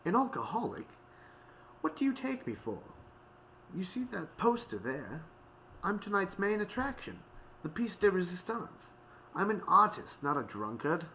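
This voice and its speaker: A man's voice: on the high side, sing-song, moderate pace, fairly bright tone, a little hiss or hum, voice pushed up, poor audio. A man voicing a prim, haughty female pony musician in a storybook, with an airy, affected politeness. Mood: indignant, haughty.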